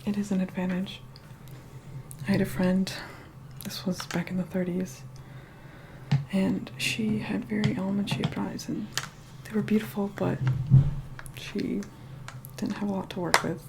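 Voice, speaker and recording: A woman playing an aloof, vain young vampire in a soft whispered ASMR roleplay, unhurried, dry and mildly condescending. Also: flat delivery, heavy background noise. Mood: wistful, matter-of-fact. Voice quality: soft, breathy whispering mixed with low murmur. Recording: music playing behind close whispered voice.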